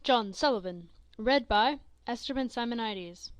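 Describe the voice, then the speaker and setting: Female reader, unhurried and higher-pitched, dry sound, announcing cast credits. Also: smooth, no room sound.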